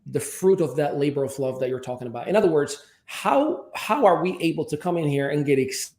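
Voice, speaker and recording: An upbeat talk host leads the chat with playful teasing. The voice sits at a middle pitch and rings with the echo of the room around the mic. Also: lively intonation, brisk, a little mellow. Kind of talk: casual chat.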